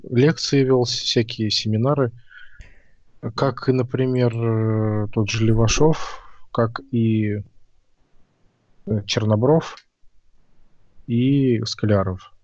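A man's voice: medium pitch, steady tone, slow pace, long pauses, darkish tone, no room sound. Guy chatting on a stream, hesitant, keeps saying dunno, dull dry mic. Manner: rambling, hesitant, reads out chat. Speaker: stream co-host. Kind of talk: casual stream chat.

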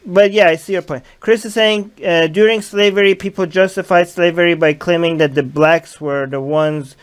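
Young male stream host, fairly high voice, headset mic, reads out chat comments and argues back casually. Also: fairly even intonation, moderate pace, smooth. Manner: reads viewer comments aloud and argues points. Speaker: livestream host.

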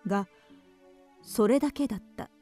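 A woman's voice: medium pitch, moderate pace, mellow, soft background music, natural voice. A woman narrating a radio drama from a script.

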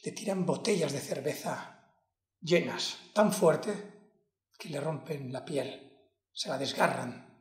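Slow, solemn lawyer, acted by a man in an echoey room. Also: on the high side. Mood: grave, anguished. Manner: slow, deliberate, emphatic, broken into short phrases. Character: solemn, impassioned male defense lawyer pleading to a jury. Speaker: acting student. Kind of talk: dramatic courtroom monologue, acted to camera.

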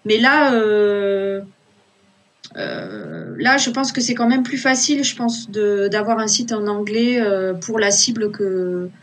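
A woman's voice: mid-range voice, fairly even intonation, slow. A woman in her thirties, an archaeological illustrator, talking casually on a video call from a small room at home, with many fillers and restarts. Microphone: laptop webcam on a video call.

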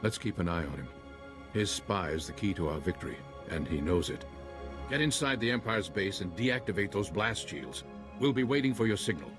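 An actor playing a grave, commanding Jedi commander in a video game, measured and serious. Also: male voice, lowish voice, lively intonation, lightly acted. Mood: wary. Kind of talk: video game cutscene acting. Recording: music behind the voice.

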